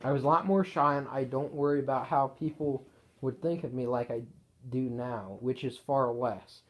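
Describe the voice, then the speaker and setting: A man reading his own written story aloud in a casual way, halting and correcting himself as he goes. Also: mid-range voice.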